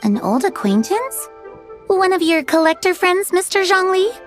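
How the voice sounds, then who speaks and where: A woman's voice: very high voice, very animated, moderate pace. A woman voicing a polite, refined antiques dealer in a video game, with a polished, courteous and formal manner. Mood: curious, polite. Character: polite, refined young businesswoman antiques dealer, grateful and gracious. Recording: faint music behind the voice. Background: soft game music.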